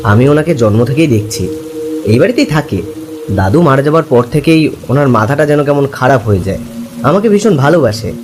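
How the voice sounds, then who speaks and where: A man's voice: fairly high pitch, close to an everyday voice. A man playing a talkative, caring young friend in a cartoon story, chatty and familiar.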